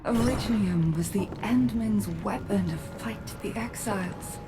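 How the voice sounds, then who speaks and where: An actress playing a scheming, mocking villainess in a sci-fi fantasy video game, speaking in a measured, ironic way. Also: female voice, medium pitch, close to an everyday voice. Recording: loud music over the voice.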